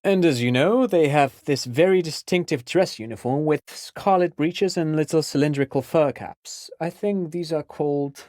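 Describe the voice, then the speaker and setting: A man's voice: fairly high pitch, moderate pace, few pauses, darkish tone, dry recording, studio quality, lightly acted. An actor playing a pedantic, absent-minded amateur scholar in a video game, an enthusiastic father who explains things eagerly and wanders into digressions. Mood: rambling, pondering. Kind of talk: video game character dialogue.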